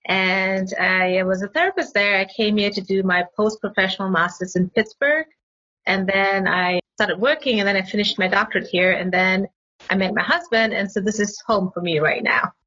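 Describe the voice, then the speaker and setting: A woman's voice: mid-range voice, moderate pace, bright, forceful tone, slightly rough, muffled recording. A woman in her forties from Malaysia, a pediatric occupational therapist, telling her story in a friendly way as a guest. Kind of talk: casual interview.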